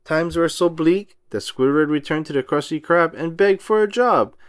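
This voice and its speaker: Male kids' read-aloud narrator: higher-set pitch, big melodic swings, expressive delivery. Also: moderate pace, smooth, dry recording, clean studio sound. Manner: expressive, acts out dialogue. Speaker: storybook reader.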